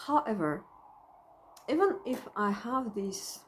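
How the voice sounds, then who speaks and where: A Russian-accented woman psychologist speaks slowly from her home. Her delivery is reflective and halting, full of pauses and self-corrections. Her pitch sits in the middle range. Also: big pitch swings, slight background noise. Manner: thoughtful, halting, with frequent pauses and restarts. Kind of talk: personal reflection to camera.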